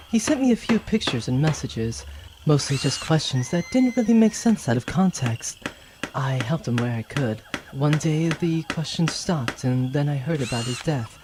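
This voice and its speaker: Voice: medium pitch, expressive, moderate pace, noisy background, lightly acted. A performer playing an earnest, scholarly female archaeologist in a space audio drama, explaining things articulately. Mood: wistful, guarded. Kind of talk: hobby audio drama acting.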